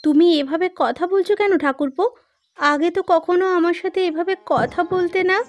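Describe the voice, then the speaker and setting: A woman's voice: extremely high pitch, steady tone, moderate pace. A woman voicing a distressed, indignant wife in a melodramatic cartoon, pleading over music. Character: distressed, indignant wife of missing farm owner.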